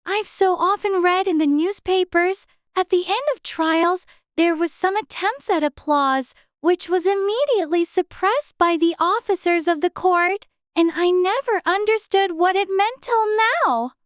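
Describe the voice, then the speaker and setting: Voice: very high voice, a little slow, few pauses, no room sound, studio quality, voice pushed up. A narrator voicing a curious, prim little girl in a storybook reading. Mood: pleased.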